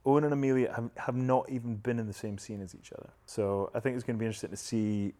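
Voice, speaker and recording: A man's voice: medium pitch, fairly even intonation, fast, warm tone. A man with a Scottish accent, an actor chatting easily in an interview, laughing often and dropping Scots words like wee. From Scotland. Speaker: actor and guest. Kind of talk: casual interview chat.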